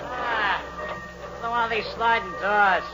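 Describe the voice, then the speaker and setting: An actor playing a grumbling, colloquial freight-yard worker in an old American radio drama. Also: male voice, high-pitched, steady tone, slow pace, music in the background. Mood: irritated. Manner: colloquial, complaining. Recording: dull old radio recording, loud background.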